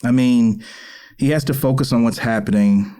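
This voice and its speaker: A man playing a caring, gently wry middle-aged father, folksy and earnest, in an audio drama. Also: medium pitch, moderate pace, lightly acted. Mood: gentle, firm. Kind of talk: audio drama acting, conversational.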